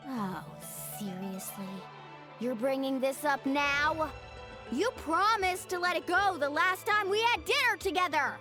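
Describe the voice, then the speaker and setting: A woman's voice: high-pitched, expressive, unhurried. A woman voicing a bubbly young girl in an anime-style game, theatrical and flustered in mock outrage, with music behind her. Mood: exasperated.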